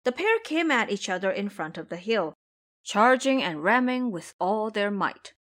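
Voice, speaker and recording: A woman narrates an adventure story in a formal, literary style. Her delivery is dramatic and expressive, with pitch that moves widely. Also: normal speed.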